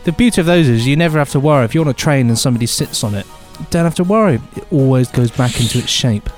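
A man's voice: mid-range voice, animated, racing, a little mellow, faint music behind. A man co-hosting a casual comic podcast, rambling playfully with silly asides. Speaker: podcast co-host.

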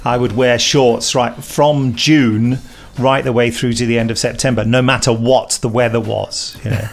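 Playful English man hosting, creaky voice. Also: mid-range voice, steady tone, moderate pace. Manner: playful, mock-dramatic. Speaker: podcast host. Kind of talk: casual jokey chat.

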